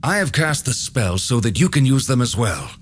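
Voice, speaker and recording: An actor playing a helpful, knowing male enchanter who explains things in a measured way in a video game cutscene. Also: mid-range voice, big pitch swings, a little hiss or hum, lightly acted. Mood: instructive, calm.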